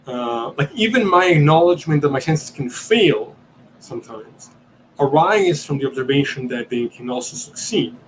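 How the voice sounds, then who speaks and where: A man's voice: fairly high pitch, expressive, moderate pace, slight background noise, low-quality recording. Hesitant Italian-born man thinking aloud. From Italy. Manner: hesitant, searching for words, many ums and restarts. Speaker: podcast host answering questions.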